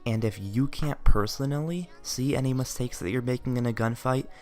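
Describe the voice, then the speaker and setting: A young man with a raspy, tired voice giving earnest gaming tips in a late-night voice-over. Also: mid-range voice, normal speed, darkish tone. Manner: earnest, advice-giving, loose phrasing. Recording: faint music behind voice. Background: faint background music.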